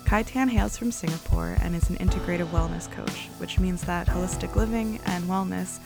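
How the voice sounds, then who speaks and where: A woman hosting a podcast, reading a welcome and then gently asking interview questions. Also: lowish voice, normal speed, vocal fry. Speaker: podcast host. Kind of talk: scripted intro and interview questions. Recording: voice over background music.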